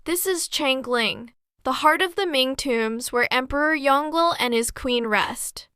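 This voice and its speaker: A woman's voice: high voice, measured pace, bright, forceful tone, smooth, no echo, studio quality. A woman reading short scripted announcements.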